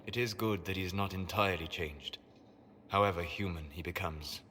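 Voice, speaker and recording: A measured, scholarly game advisor in a hall-like reverb with some ambient noise. His voice is low and sharply bright, his wording precise and formal. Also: steady tone. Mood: cool, concerned. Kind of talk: video game cutscene acting.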